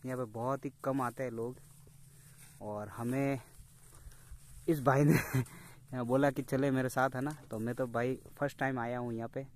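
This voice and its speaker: A man's voice: medium pitch, steady tone, unhurried. A man explains a roadside shrine to the camera in a friendly, step-by-step way, often checking in with his listener. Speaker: guide showing a shrine.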